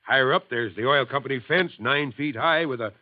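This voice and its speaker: A man plays a steady, fatherly fire chief in a 1950s radio drama, speaking with calm, kindly authority. The voice sits mid-range and sounds thin and tinny, as if heard over an old telephone line. The sound is completely dry. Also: medium pitch, brisk, no room sound, lightly acted.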